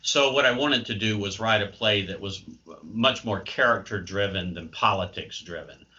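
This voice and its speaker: An American man giving unscripted interview answers as a guest, halting as he searches for words, over a muffled remote call. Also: on the low side, bright, forceful tone. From United States.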